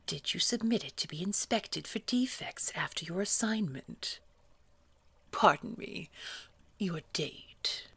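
A woman performs a menacing female boss for an audio roleplay. Her voice is deep and very husky, nearly all breath, and she takes her time. She chooses formal, precise words with cold condescension. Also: expressive, slow, fairly bright tone, dry recording, heavily acted. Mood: withering, suspicious. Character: scary, condescending female boss at a secretive organization. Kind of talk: scripted audio roleplay drama.

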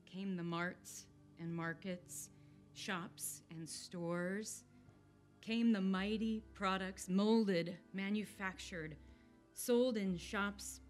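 A young woman reads poetry solemnly at a podium microphone, measured and emphatic. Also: lowish voice. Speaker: community poetry reader. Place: indoor event room, at a podium. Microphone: podium microphone on a stand. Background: faint music.

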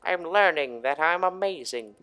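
A man's voice: on the high side, lively intonation, normal speed, faint music behind. A man giving rambling video game commentary, swearing freely and hamming it up theatrically. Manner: foul-mouthed, theatrical, breaks into mock shouts. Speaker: host of a video game playthrough.

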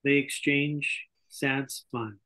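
A man's voice: mid-range voice, fairly even intonation, slow, pushed and bright. An older man reading scripted stage directions as narrator at a table read over a video call. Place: indoor room on a video call. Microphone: video call microphone.

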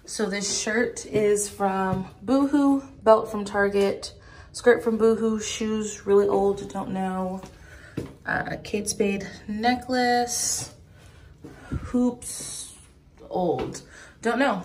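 A woman's voice: medium pitch, a little mellow, a little hiss or hum. Chatty young woman vlogging a hotel tour. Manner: chatty, listing things offhand. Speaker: travel vlogger. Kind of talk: casual vlog tour. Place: hotel room, indoors. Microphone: phone held at arm's length.

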